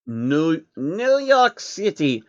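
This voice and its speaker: A man voicing a menacing, condescending baron with a deliberate, sardonic manner for a story reading. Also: a little high, very animated, no room sound, lo-fi sound, voice pushed up, exaggerated voice. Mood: sardonic, irritated.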